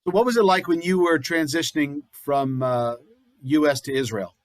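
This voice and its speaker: A man's voice: on the high side, moderate pace. A man hosts a congregation program over a video call, moderating a question-and-answer session. He talks casually and leans on fillers. The sound is dry, with no room echo.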